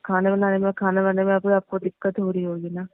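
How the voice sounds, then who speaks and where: Bashful young daughter-in-law played by a woman, halting delivery with very little pitch movement, heard through a narrow phone line. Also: little pitch movement, clear, resonant tone, no echo, lightly acted. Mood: shy, evasive. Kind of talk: staged phone-call audio drama in everyday conversational speech. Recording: muffled narrow-band phone-line sound.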